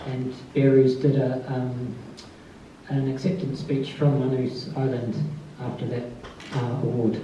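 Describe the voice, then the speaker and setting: Quiet soft-voiced guy reading at a book event, mellow tone, far off in a really echoey room. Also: on the high side, a little hiss or hum. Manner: soft-spoken, calls his voice mousy. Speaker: author giving a tribute reading. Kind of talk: introduction and reading aloud. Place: festival event space. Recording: distant, dull, very echoey room.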